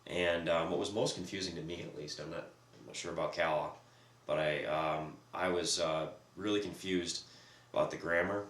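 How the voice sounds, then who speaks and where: A man recovering from a cold, hoarse and hesitant, filling pauses with ums as he co-hosts a casual discussion. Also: low-pitched, fairly even intonation, a bit bright. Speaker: co-host. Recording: echoey room, distant mic, faint noise.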